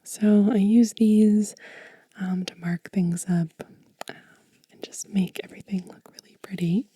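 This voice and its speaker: Woman, breathy whisper, very dark soft tone, dry close-mic sound, gentle unhurried ASMR host. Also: medium pitch, fairly even intonation, no echo. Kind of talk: soft-spoken whispered ASMR show and tell. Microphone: close microphone.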